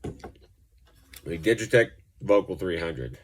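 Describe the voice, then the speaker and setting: A man with a low-pitched voice talks through a gear demonstration as a musician would. His delivery is informal and loosely explanatory, wandering from point to point in a conversational way. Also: animated, takes it slowly, slightly rough. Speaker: pedal reviewer and musician. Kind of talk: casual gear demonstration talk.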